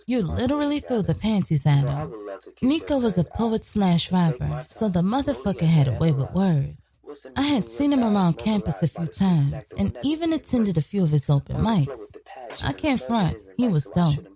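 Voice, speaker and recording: A woman's voice: mid-range voice, big pitch swings, moderate pace, creaky, dark tone. A woman playing a sly, brash young woman killer in an audio drama, telling her story in a sassy, profane, colloquial way. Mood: confiding, sassy. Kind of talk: scripted first-person narration, audio drama.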